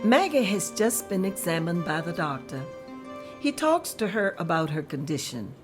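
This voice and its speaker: A woman narrating scene directions in a table read, plain and steady. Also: fairly low pitch, normal speed, over music.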